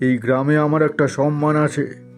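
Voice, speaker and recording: A man's voice: on the high side, flat delivery, moderate pace, soft background music, natural voice. A man voicing a proud, stern middle-aged landlord father in a cartoon story, commanding and indignant. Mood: angry.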